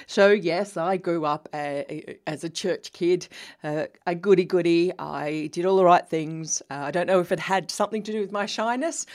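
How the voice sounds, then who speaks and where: An Australian woman in her fifties hosting her own podcast, talking in a chatty, conversational way as if over coffee. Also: lowish voice. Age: 50s. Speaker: podcast host. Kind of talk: casual solo podcast monologue.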